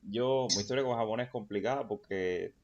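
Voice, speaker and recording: A man's voice: on the low side, fairly quick, highs cut off. A man interviewing a guest in a friendly, casual way.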